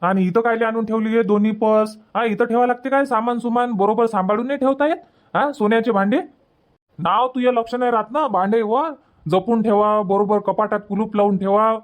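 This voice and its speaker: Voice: medium pitch, steady tone, brisk, close to an everyday voice. A performer plays a village husband and father from a cartoon comedy. He speaks in a Varhadi Marathi accent, handing out orders and scolding like an exasperated head of the house. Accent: Varhadi Marathi from Vidarbha. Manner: bossy, scolding.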